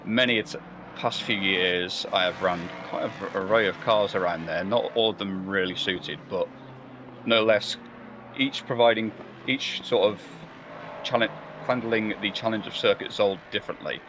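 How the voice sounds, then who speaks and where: A man's voice: lowish voice, normal speed, background noise. A man commentating a sim race live, rambling and hesitant, with many fillers and restarts. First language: not German or Belgian.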